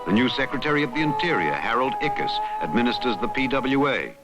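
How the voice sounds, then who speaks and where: Animated American documentary narrator, male, over music. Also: medium pitch, brisk, rough recording. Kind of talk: dramatic scripted newsreel-style narration. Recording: vintage documentary soundtrack with loud music. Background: loud music.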